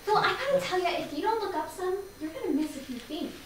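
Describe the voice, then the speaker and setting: A woman's voice: extremely high pitch, animated, quick pace. A woman acting in a staged play reading as a playful, teasing young enthusiast who coaxes and persuades emphatically. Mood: teasing, reassuring. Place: live staged reading in a room. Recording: very echoey, distant mic, faint noise.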